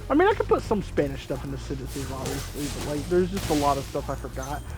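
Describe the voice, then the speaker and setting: A young man in a casual let's-play, talking off the cuff with a slightly raised pitch and lots of "like" fillers. Soft game music plays faintly behind his desk mic. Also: big pitch swings, quick pace. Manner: rambling, many "like" fillers. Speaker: gaming video host.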